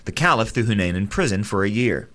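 Male commentator, lowish voice, earnest and steady, scripted read. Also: quick pace. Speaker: radio commentator.

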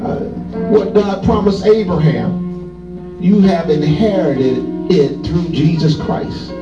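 A man's voice: fairly high pitch, takes it slowly. A man preaching a sermon with fervor, repeating his phrases and breaking into praise. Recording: distant, roomy, dull, guitar music behind.

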